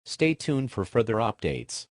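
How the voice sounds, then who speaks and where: A man's voice: medium pitch, big pitch swings, measured pace, dry recording, clean studio sound. A man narrating a scripted emergency broadcast in a formal, impersonal announcer manner.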